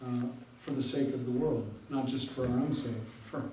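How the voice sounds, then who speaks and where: A man, a pastor, giving a casual, conversational talk with many fillers and pauses. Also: mid-range voice, fairly even intonation, warm tone, slight background noise. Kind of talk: casual lecture with audience Q&A. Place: large hall with audience. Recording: echoey hall from far off.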